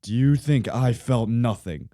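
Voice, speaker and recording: Radio play monster: male actor as remorseful creature made from corpses, deep mellow tone, slow deliberate formal speech. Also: natural voice. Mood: bitter remorse. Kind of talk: theatrical radio play acting.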